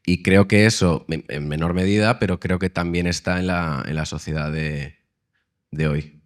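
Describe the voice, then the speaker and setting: A reflective man answers on a stage panel, pausing often and hedging as he thinks. His voice sits very low in pitch. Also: fairly even intonation. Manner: hesitant, reflective, many fillers. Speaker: actor.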